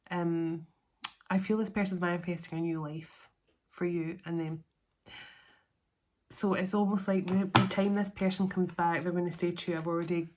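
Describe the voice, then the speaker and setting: A woman's voice: fairly low pitch, a little mellow. A middle-aged woman doing a solo tarot reading to camera, chatty and self-deprecating, laughing often between cards. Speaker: tarot reader.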